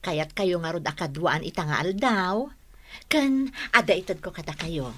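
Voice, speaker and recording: A woman's voice: on the low side, lively intonation, moderate pace, fairly bright tone, a little hiss or hum. A woman with an Ilocano accent playing a sweet, coaxing younger daughter-in-law in a radio comedy-drama.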